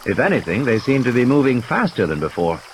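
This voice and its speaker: A man's voice: medium pitch, very animated, fast talker, a little hiss or hum. A man reading scripted dramatic story narration as an audiobook narrator.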